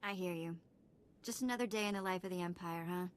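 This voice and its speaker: A woman's voice: on the low side, quick pace, clean tone, lightly acted. A woman playing a cheeky young female sidekick in a video game, casual and playfully sarcastic. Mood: playful, relieved. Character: wry, cheeky young female companion, freed slave. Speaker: voice actor in a game. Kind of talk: cinematic video game acting.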